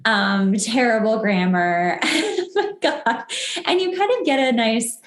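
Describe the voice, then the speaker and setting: A woman's voice: fairly high pitch, sing-song, unhurried. Woman podcast host just chatting, says like a lot, acts out her thoughts, roomy echo. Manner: chatty, uses "like" constantly, acts out inner thoughts. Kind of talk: casual podcast chat. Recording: roomy, echoey sound.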